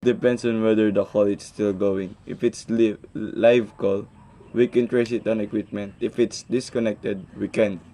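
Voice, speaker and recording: A man playing a formal, unhurried and skeptical chief telephone operator in an amateur radio play. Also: on the low side, level tone, mellow. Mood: formal, doubtful. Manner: formal, procedural.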